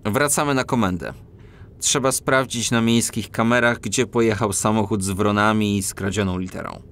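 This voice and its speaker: A man's voice: on the low side, moderate pace, fairly bright tone. A man playing an earnest, bashful cat police detective, narrating and acting in a children's audio drama. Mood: businesslike.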